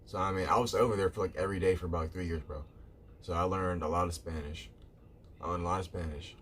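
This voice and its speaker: Deep-voiced rambling male streamer. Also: steady tone, quick pace, fairly bright tone, slight background noise. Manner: rambling, repeats himself, says "bro" often. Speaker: live streamer. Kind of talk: casual chat with viewers.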